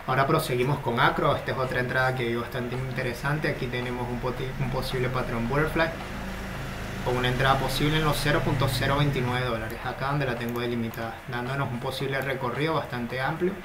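A man analysing cryptocurrency charts in a steady, explanatory screen-share commentary. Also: mid-range voice, fast. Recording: noticeable background noise.

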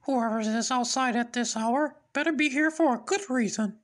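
A performer voicing a kindly, regal male cartoon mouse king, earnest and expressive. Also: medium pitch, normal speed, pitched above the performer's own voice, natural voice. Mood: concerned.

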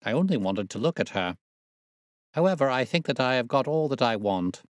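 Male narrator as a gentleman detective, medium pitch, brisk, precise, self-assured, courteous, dry audiobook recording. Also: lively intonation, dry recording, lightly acted. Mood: brisk, cheerful.

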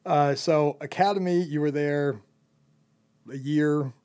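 A man's voice: a little high, steady tone, slow pace, a little mellow, smooth. A young man who owns a company, casually interviewing a job applicant in a loose, rambling way with lots of fillers.

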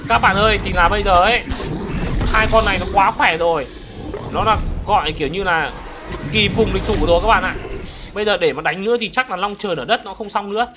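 A gaming video host giving excited gameplay commentary, exclaiming often and addressing viewers like friends. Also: mid-range voice, a little fast. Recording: music behind the voice. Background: background music.

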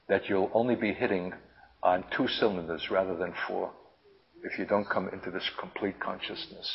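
A man preaching and teaching in a deliberate, emphatic manner with lofty wording, halting now and then to repeat himself. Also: on the low side, a little hiss or hum. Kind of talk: impassioned prophetic sermon, teaching. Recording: dull, lo-fi sermon recording.

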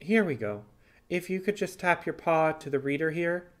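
A man puts on a helpful animal engineer in a sci-fi audiobook. He sounds casual and a little awkward, halting at times. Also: on the high side, lively intonation, pitched above the performer's own voice, close to an everyday voice.

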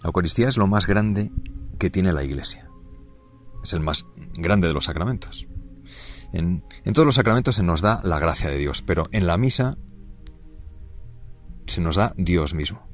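A man, a priest, giving an unscripted catechesis in a gentle, halting way, with many fillers and restarts. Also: low-pitched, expressive, faint music behind.

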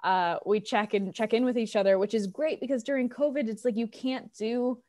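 An American woman in her thirties talking casually and informally as an interview guest, chatty and animated, on a video call. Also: on the high side, fairly quick. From United States, Colorado. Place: living room on a video call. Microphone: wired earbuds on a video call.

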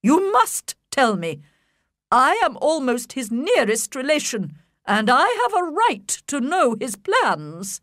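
A woman's voice: high-pitched, very animated, a bit bright, dry recording, clean studio sound, pitched above the performer's own voice, heavily acted. A woman putting on a haughty, stiff old aristocrat. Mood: angry. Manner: imperious, slow and emphatic.

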